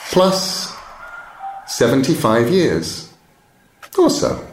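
A man's voice: mid-range voice, sing-song, extremely slow, slight background noise, voice pushed up. A man voicing a shrewd, kindly old bookseller explaining things in an audiobook story. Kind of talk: audiobook character dialogue. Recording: roomy echo.

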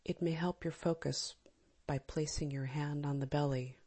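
A woman leading a guided meditation in a calm, gentle, soft and breathy manner, with long pauses. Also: sits low. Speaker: meditation teacher. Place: live small group session.

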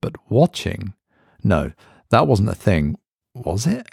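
A male narrator reads a scripted horror story with a low voice and a gravelly grain. His intonation moves a lot. The sound is dry and close, with no room around it. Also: very animated, slow, a little mellow, dry recording. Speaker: narrator, reader of horror stories.

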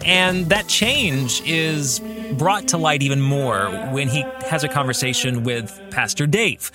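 A man's voice: on the high side, bright, forceful tone. A man hosting a podcast, speaking in an earnest, reflective way and often putting rhetorical questions to the listener. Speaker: podcast host. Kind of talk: reflective book discussion addressed to listeners.

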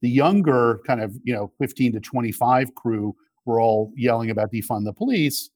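Casting: middle-aged male interview guest, thoughtful and halting debater, medium pitch, dry recording. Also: darkish tone, no room sound. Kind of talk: conversational political discussion.

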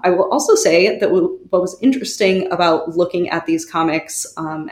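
A woman co-hosting a podcast, presenting researched history in a conversational, wry and animated way with giggly asides. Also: fairly low pitch, roomy sound. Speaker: podcast co-host.

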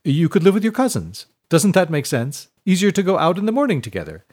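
A man's voice: high voice, wildly swooping pitch, brisk, darkish tone, pitched above the performer's own voice, full character voice. A man voicing a pompous, oily village council head in an audiobook, fawning and wheedling with little questioning hums. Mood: smug wheedling. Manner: fawning, purring, punctuated with questioning "hmm?".

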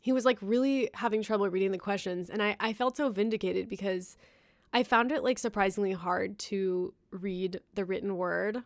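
A woman's voice: mid-range voice, fairly even intonation, moderate pace, no room sound, studio quality. A woman hosting a podcast, chatting casually with her guests, laughing often and filling her talk with "like" and "um.". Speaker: podcast host.

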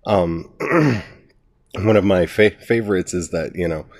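Slow, deep-voiced man with a head cold. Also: lively intonation. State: has a cold, gravelly and nasal. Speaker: writer teaching a Bible study series. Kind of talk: casual spoken teaching.

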